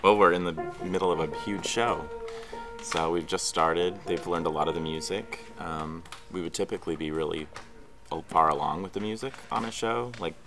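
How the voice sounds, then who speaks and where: A man's voice: low voice, moderate pace. A man in his thirties, a theatre director, talking in a documentary interview. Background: music.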